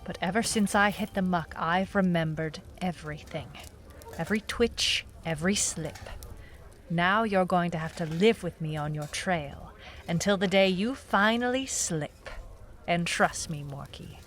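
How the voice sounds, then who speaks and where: A woman's voice: lowish voice, measured pace, a bit bright. An actress playing a tough, defiant female private eye, narrating a noir audio drama in a cool, clipped manner.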